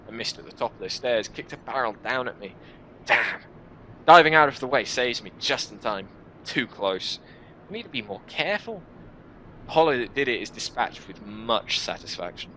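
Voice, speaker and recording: A man reading a game's story aloud in the first person, with an expressive storytelling delivery. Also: medium pitch, slight background noise, poor audio. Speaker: video game let's player reading a visual novel aloud. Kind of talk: dramatic first-person narration of game text with casual asides.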